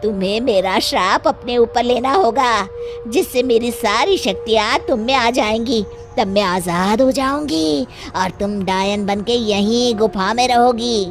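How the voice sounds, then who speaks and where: A woman voicing a sinister cave-dwelling witch in a cartoon, speaking in a slow, taunting, drawn-out way. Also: a little high. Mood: ominous. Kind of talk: cartoon voice acting. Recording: music bed behind voice.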